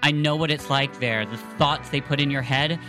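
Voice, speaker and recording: Host reading young soldier, gentle, earnest, pleading, music behind. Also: mid-range voice, moderate pace, natural voice. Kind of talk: amateur read-aloud scene.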